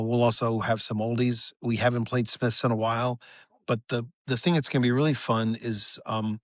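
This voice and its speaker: A man's voice: on the low side, dry recording. A middle-aged American man chatting casually as an interview guest, informal and rambling, with enthusiasm. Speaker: guest musician, rock band singer-songwriter. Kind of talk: casual chat.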